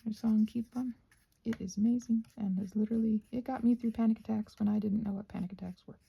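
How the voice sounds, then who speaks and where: A soft-spoken woman chatting casually and musing aloud as a craft vlogger, often slipping toward a whisper and gently reassuring herself. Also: fairly high pitch, fairly even intonation, quick pace, gravelly, slightly rough, lo-fi sound. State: short on sleep. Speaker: craft vlogger and ASMR host. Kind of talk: casual rambling craft chat.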